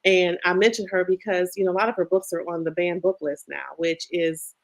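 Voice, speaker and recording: A middle-aged woman who writes children's books, reading her story aloud with lively pitch swings and then chatting casually, leaning on "you know.". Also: a little fast. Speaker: children's book author. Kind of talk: reading her picture book aloud, then interview chat. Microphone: video call.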